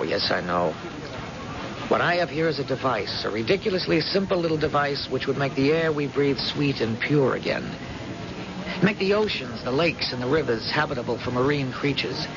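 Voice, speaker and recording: An actor playing an intense, self-assured inventor, declamatory and gravelly, in an old-time radio drama. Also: male voice, medium pitch, animated, moderate pace. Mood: fervent, assured. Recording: dull, noisy old radio recording.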